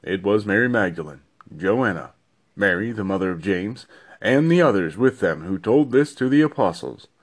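Low-pitched man reading scripture. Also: lively intonation, normal speed. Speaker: scripture reader. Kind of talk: narrated Bible reading.

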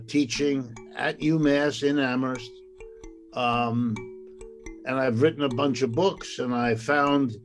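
An older man, a retired professor, talks through his past at an easy pace. His pitch sits in the middle for a man. He pauses often on fillers, and soft music plays faintly behind him. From United States. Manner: unhurried, many "uh" and "um" fillers, occasional laughs. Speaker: economics professor, interviewee. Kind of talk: reflective personal storytelling.

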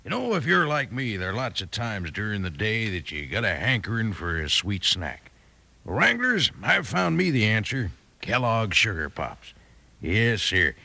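A gravelly cowboy salesman with a lowish American voice. His delivery swoops dramatically up and down, folksy and enthusiastic, as in a vintage radio commercial. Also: wildly swooping pitch, unhurried, pushed and bright, a little hiss or hum, full character voice. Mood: hearty. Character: folksy old-West cowboy pitchman, enthusiastic. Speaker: radio advertiser.

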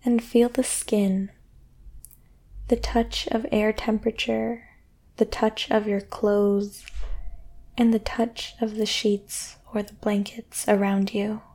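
A woman guiding a sleep meditation in a soothing, gentle way, speaking deliberately with long pauses. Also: medium pitch, little pitch movement. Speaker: meditation guide and podcast host. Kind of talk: guided sleep meditation, yoga nidra.

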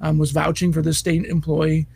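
Hoarse, raspy male editor; halting, filler-heavy commentary. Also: a little high, completely flat delivery, a little mellow. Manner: hesitant, many fillers and restarts. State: hoarse, scratchy voice. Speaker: executive editor. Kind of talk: casual news commentary.

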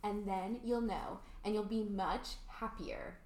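A woman's voice: mid-range voice, steady tone, slow, darkish tone, lightly acted. A woman playing a bold, candid, rich teenage girl in an amateur radio play, with a theatrical, quippy delivery. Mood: coaxing. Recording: roomy home recording.

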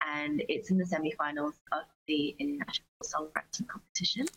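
A woman's voice: low-pitched, fairly even intonation, a little fast, fairly bright tone, slightly rough, poor audio. A young woman, a singer-songwriter, chatting casually as an interview guest.

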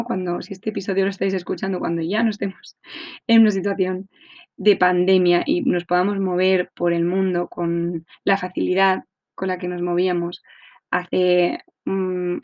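A woman's voice: medium pitch, darkish tone. A woman hosting a podcast, explaining in a chatty way with many fillers and tag questions. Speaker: podcast host. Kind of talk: informal podcast monologue. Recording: dull lo-fi sound.

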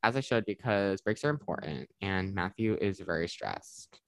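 A man's voice: low voice, expressive, moderate pace, fairly bright tone, no echo. A teenage boy hosting a podcast, chatting casually and rambling with lots of "like.". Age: teens. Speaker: podcast host. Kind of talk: casual chat.

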